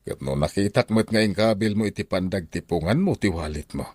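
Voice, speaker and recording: A man playing a stern but fair uncle in a radio drama, admonishing and expressive, with an Ilocano Filipino accent. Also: low-pitched, brisk, no echo. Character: stern, fair-minded uncle, middle-aged head of household.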